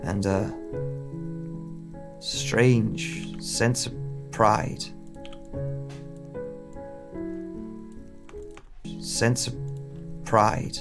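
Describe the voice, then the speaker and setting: Man acting a haunted searcher, gravelly fry, slow deliberate delivery, audio drama monologue, guitar music underneath. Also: lowish voice, fairly even intonation. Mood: intense longing. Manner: brooding, deliberate, with dramatic pauses. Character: brooding man searching his lost memories for someone. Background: plucked guitar music.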